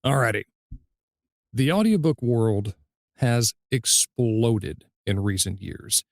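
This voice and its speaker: A man's voice: mid-range voice, expressive, slow, gravelly, no echo, clean studio sound. A man hosting a podcast interview, speaking conversationally in fluent, orderly phrases. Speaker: podcast host, audiobook narrator.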